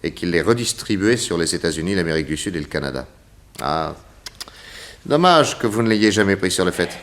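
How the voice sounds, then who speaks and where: A shrewd private detective in an old radio crime play, with a deep voice. He speaks politely and with measured authority. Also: very animated, fairly bright tone, exaggerated voice. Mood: thoughtful. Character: shrewd, composed, courteous male private detective. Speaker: actor in a radio crime drama. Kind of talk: 1960s French radio drama acting.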